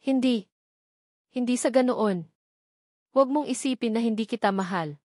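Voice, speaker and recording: A woman's voice: on the high side, moderate pace, warm tone, dry recording, close to an everyday voice. Female reader as anxious young Filipino heroine of romance audiobook, tearful, apologetic, dry sound. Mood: pleading.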